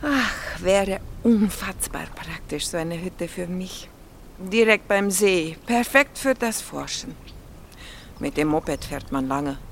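An actress playing a blunt, playful young Slovak doctoral student with a Slovak accent in an audio drama. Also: female voice, on the low side, animated, slow, a little hiss or hum. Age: young adult. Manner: blunt, teasing, quirky non-native phrasing.

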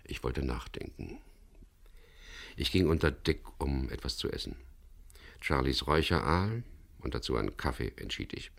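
An actor playing a wry, weary boat skipper who narrates his adventure in a dry, measured way in a German crime radio play. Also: a bass voice, lively intonation, gravelly, a bit bright, heavily acted. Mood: calm, reflective. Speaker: first-person narrator and lead character.

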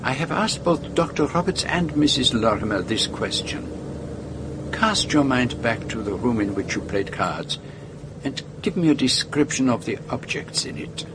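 Over clear vehicle noise comes a courteous, reflective detective played by a man with a French-speaking Belgian accent. He phrases things formally and deliberately, yet his pitch moves in a lively way. It is a radio drama performance. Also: lightly acted. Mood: courteous, probing. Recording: noisy vehicle ambience behind dialogue.

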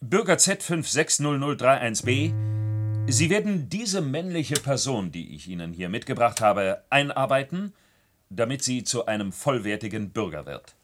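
A man's voice: medium pitch, big pitch swings, moderate pace, natural voice. A man playing a stern, officious control official in a 1970s science-fiction radio play, speaking in formal, commanding bureaucratic language. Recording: noisy old radio drama recording.

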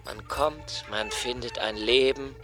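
An actor playing an anxious, brooding young writer in a literary radio drama monologue, intense and deliberate in delivery. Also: male voice, mid-range voice, close to an everyday voice. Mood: bitterly sardonic. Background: music.

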